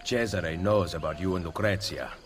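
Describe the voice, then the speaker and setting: Man as a deep, grave Renaissance assassin. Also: moderate pace, slight background noise, close to an everyday voice. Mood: stern. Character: resolute male Renaissance assassin, grave and controlled. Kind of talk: dramatic video game voice acting.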